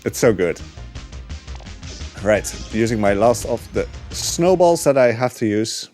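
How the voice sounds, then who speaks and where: A young man with a lowish voice commentating his speedrun in a loose, chatty way, often pausing on "uh" and laughing lightly. Game music plays faintly behind him. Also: lively intonation, darkish tone. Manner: chatty, with frequent uh fillers and laughs. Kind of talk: casual commentary while gaming.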